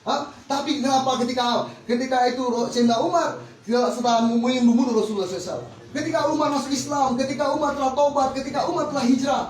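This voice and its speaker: A man's voice: very high voice, rapid-fire. A man preaching a fervent, rhythmic sermon to a congregation, repeating set phrases. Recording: echoey hall recorded from far off.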